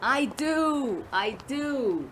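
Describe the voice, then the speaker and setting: A woman's voice: sits high, animated, drawn-out words, a bit bright, slight background noise, heavily acted. A woman playing a wry, enthusiastic older rocker in a conversational audio drama.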